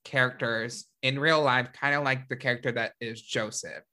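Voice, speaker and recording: Guy co-hosting a podcast, bright forward voice, slangy and playful, swears a lot. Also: medium pitch, steady tone, normal speed. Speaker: podcast co-host. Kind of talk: casual chat about horror movies.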